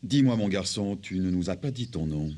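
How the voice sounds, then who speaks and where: An actor playing a courteous, doting middle-aged gentleman father in a French cartoon dub, speaking politely and formally. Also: low voice, animated, moderate pace, slight background noise. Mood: kindly, measured.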